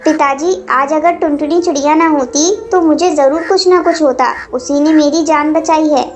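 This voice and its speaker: Kind young girl bird for kids' cartoon, woman performer: high and childlike, encouraging, light music bed. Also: moderate pace, lightly acted. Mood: earnest. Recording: music under the voice.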